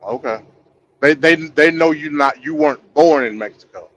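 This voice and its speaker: A man's voice: a little high, moderate pace, fairly bright tone, highs cut off, a little hiss or hum. Probing man hosting a live call-in. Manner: probing, repeats words while pressing a point. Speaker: host.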